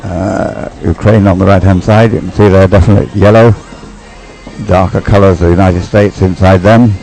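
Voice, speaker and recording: A man's voice: low-pitched, fairly even intonation, moderate pace, creaky, warm tone, soft background music, low-quality recording. A man giving unscripted live race commentary in a rambling, hesitant way, often thinking aloud. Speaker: sports commentator.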